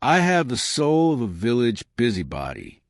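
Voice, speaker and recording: A shrewd, kindly elderly lady from an audiobook mystery, as a male narrator puts her on. Her manner is modest and knowing, with a lively rise and fall in pitch. The recording is dry. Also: normal speed, no room sound. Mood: wry.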